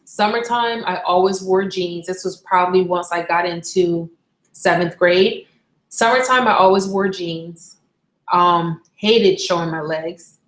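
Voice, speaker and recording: A young woman from Barbados chatting casually on a live stream, candid and rambling, often correcting herself mid-sentence. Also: fairly low pitch. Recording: echoey room.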